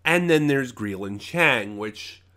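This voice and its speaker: Man, mid-pitched, very bright forceful voice, casual reviewer, unscripted, emphatic, halting delivery. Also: expressive. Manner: halting, trails off and regroups mid-thought. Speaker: online reviewer.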